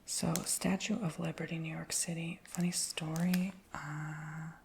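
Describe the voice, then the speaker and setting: A woman whispering casually in a show-and-tell video, rambling with lots of fillers and slowly reading out labels. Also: deep voice, level tone, slight background noise. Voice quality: soft whisper with creaky edges. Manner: reads names and titles slowly.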